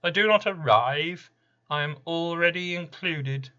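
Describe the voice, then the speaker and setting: A man's voice: on the high side, unhurried, pushed and bright, highs cut off, voice pushed up. A man voicing an ominous, smugly self-assured horseman spirit of random misfortune in a declamatory poetry reading.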